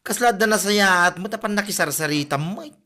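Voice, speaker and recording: A woman's voice: mid-range voice, animated, fairly quick, bright, forceful tone. A woman playing an exclamatory, teasing village neighbour with an Ilocano accent in a gossipy comedy drama podcast. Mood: needling.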